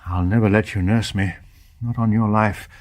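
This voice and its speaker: An actor playing a devoted, bedridden English husband in a radio drama, husky, earnest and well-spoken in Received Pronunciation, brave and tender with bitter despair underneath. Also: male voice, fairly low pitch, steady tone, moderate pace, darkish tone, lightly acted. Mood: tender, resigned. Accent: British Received Pronunciation. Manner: earnest, old-fashioned upper-class phrasing.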